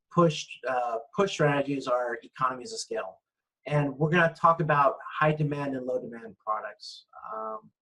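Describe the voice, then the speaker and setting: A man's voice: mid-range voice, a bit bright, poor audio. A man lecturing informally over slides, explaining things in a conversational way and often checking in. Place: online lecture with screen-shared slides.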